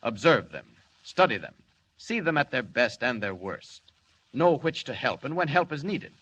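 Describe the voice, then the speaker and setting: An actor playing a noble, earnest alien superhero with formal, declamatory delivery in a 1940s radio drama. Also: male voice, mid-range voice, big pitch swings, moderate pace, fairly bright tone, slightly rough, rough recording. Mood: grave, earnest. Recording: vintage 1940s radio recording.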